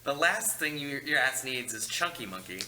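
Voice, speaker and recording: A man's voice: medium pitch, lively intonation, normal speed, sharp tone. A man playing a catty, sarcastic male ghost with a dry, cutting manner at a comedy screenplay table read. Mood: snarky. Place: large meeting room. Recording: noisy room microphone.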